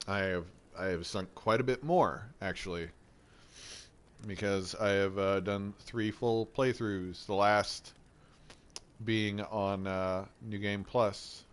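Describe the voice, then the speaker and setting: A laid-back young man with a stuffy, head-cold voice, rambling easily as he kicks off a gaming stream. He talks slowly in a fairly low register, dropping casual swears. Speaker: gameplay streamer. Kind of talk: casual gaming intro chat.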